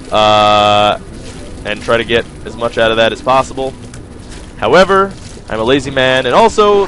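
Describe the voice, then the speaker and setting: A young man chats his way through a game run, rambling and poking fun at himself as he addresses his viewers. His pitch bounces around animatedly from line to line. Quiet game music plays softly under his voice. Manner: rambling, joking, self-mocking, talks to viewers. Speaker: gaming video host. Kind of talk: casual gameplay commentary. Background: faint game music.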